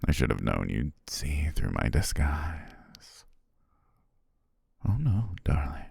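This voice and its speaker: A man playing a possessive shapeshifting naga who poses as a devoted servant, speaking gently and formally in an intimate ASMR roleplay, husky, breathy and often whispering. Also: rumbling low, huge pitch swings, no echo, studio quality, full character voice. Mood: tender, possessive, coaxing. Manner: slow, gentle, formal, coaxing.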